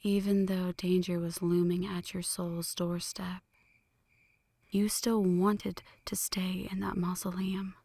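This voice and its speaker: Slow whispering vampire woman , acted by a woman. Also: on the low side, little pitch movement, mellow, no room sound. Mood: wistful, intense. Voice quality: breathy whisper. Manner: slow, deliberate, intimate, solemn. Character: ancient flirty female vampire, brooding, lovestruck, world-weary. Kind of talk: whispered ASMR roleplay. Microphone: close microphone, dry.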